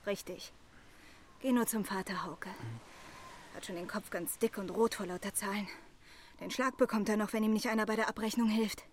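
An actress playing a clever, proud young daughter of a dike master in a radio play, with a teasing manner. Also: female voice, mid-range voice, steady tone, normal speed, long pauses, slight background noise, natural voice. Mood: commanding. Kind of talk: German radio play acting.

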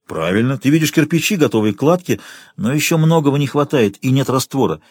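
A man's voice: mid-range voice, animated, fairly quick, darkish tone, breathy edge, dry recording, studio quality. Man reading as a stubborn young investigative journalist. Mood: insistent.

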